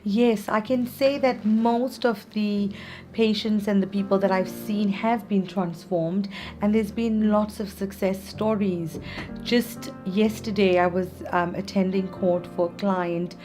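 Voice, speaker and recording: A woman born in South Africa, a psychiatrist answering interview questions in earnest, measured explanations. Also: mid-range voice, steady tone, dark tone, faint music behind. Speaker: psychiatrist guest.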